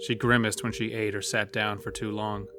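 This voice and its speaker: Male horror narrator over loud music. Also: on the low side, flat delivery, moderate pace. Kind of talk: scripted horror story narration. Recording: music bed under the voice.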